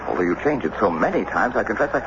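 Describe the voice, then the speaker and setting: A man's voice: lowish voice, sing-song, fast, dull audio. An actor playing a pompous, stubborn American statesman, formal and oratorical, in a radio drama. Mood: indignant. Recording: noisy, band-limited old radio broadcast.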